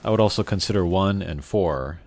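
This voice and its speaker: A man's voice: low voice, moderate pace, a little mellow. A man casually commentating as he plays a video game, rambling and opinionated in an informal way. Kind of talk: casual let's-play commentary. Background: faint game music.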